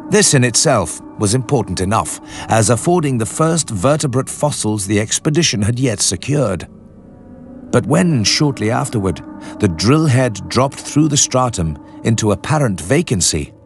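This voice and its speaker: A man playing an anxious scientist recounting a doomed expedition in measured, grave, formal radio storytelling. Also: medium pitch, lively intonation, lightly acted. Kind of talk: dramatic literary audiobook narration for radio. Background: music under the voice.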